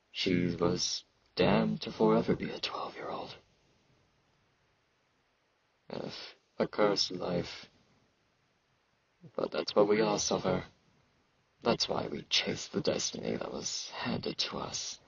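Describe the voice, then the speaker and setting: A man playing a weary, aloof immortal fantasy knight in an intimate ASMR roleplay, slow and brooding, with a gravelly, husky voice and sibilant S sounds. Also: low-pitched, muffled recording, full character voice. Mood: weary, resigned. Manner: slow, brooding, casual about grim things.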